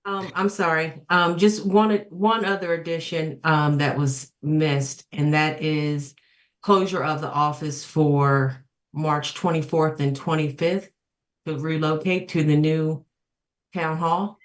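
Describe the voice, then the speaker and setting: A woman's voice: sits low, steady tone, takes it slowly. A woman on a town council, raising points politely and hesitantly over a video call. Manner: polite and hesitant, with apologies and fillers. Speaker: council member. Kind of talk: council meeting discussion.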